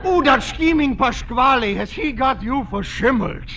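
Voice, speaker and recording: An actor playing a jolly, teasing German immigrant friend with a German accent, exclaiming and mock-scolding in a radio comedy. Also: male voice, very high-pitched, expressive, moderate pace, bright, forceful tone, some chatter behind, full character voice. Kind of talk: 1950s radio situation comedy acting. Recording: muffled, very noisy old radio broadcast.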